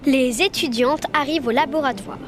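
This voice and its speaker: A woman's voice: high-pitched, brisk, a little hiss or hum. A young girl presenting and narrating a scripted radio show. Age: child.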